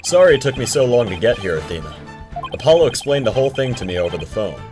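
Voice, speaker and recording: A man's voice: mid-range voice, big pitch swings, fast, darkish tone, lightly acted. A man playing an earnest, composed defense attorney with a polite, assured manner in a video game fandub. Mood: reassuring. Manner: polite, assured, occasionally exasperated. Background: prominent game music.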